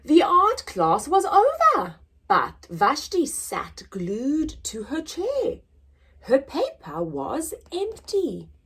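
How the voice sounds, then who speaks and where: A woman hosting a children's story time, reading aloud in an expressive, deliberate, sing-song way for small children. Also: high-pitched, gravelly. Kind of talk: animated read-aloud for young children.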